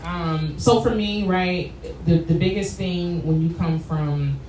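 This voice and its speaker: Casting: American woman, low register, reflective and emphatic conversational guest, recorded over loud background noise. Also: slow pace. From New Jersey, United States. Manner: reflective, emphatic, often checks in with "right?". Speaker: guest, wellness coach and therapist. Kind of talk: conversational podcast interview answers. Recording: roomy echo, distant mic, loud background noise.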